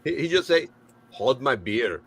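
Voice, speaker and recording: A man in casual show-and-tell chat, good-humoured, halting and repeating his words as he explains. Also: medium pitch, very animated, a bit bright. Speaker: hobbyist guest on the stream. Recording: faint noise, room ambience.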